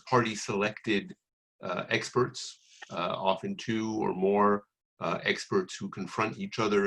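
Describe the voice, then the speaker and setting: An older man with a New York accent introducing a webinar panel in a semi-formal, halting way with pauses mid-sentence. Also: on the low side, creaky, fairly bright tone, husky, poor audio. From New York City, United States.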